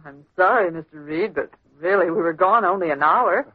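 Wry secretary, on tinny radio. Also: female voice, lowish voice, normal speed, slightly rough. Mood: businesslike, curious. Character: wry, teasing, sensible young female secretary. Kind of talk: 1940s radio acting. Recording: tinny, band-limited old radio recording.

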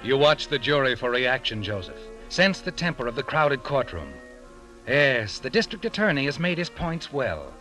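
An actor narrating an old-time radio thriller in a suspenseful, pointed manner, addressing the listener directly. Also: male voice, medium pitch, very animated, moderate pace, pushed and bright. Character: second-person radio narrator, insistent voice addressing Joseph. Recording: old radio recording with music behind.

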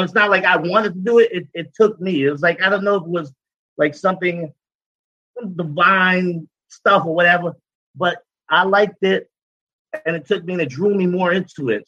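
Man, 40s, interview guest; bright, easygoing, rambling delivery. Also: fairly high pitch, brisk, dry recording, highs cut off. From Guyana. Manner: relaxed, repetitive, rambling with many "you know"s. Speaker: cigar business owner, guest. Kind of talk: casual interview talk.

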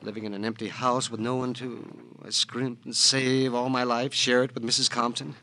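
An actor playing a petty, penny-pinching husband in an old radio drama, fussy, indignant and self-pitying. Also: male voice, medium pitch, little pitch movement, normal speed, bright, forceful tone. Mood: aggrieved, self-pitying. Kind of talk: 1940s-50s radio drama acting. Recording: noisy old radio recording.

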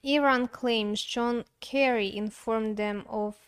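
A woman's voice: on the high side, steady tone, takes it slowly, clear, resonant tone. A woman co-hosting a casual show, mostly giving brief replies and short asides. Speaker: co-host. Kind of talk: casual chat.

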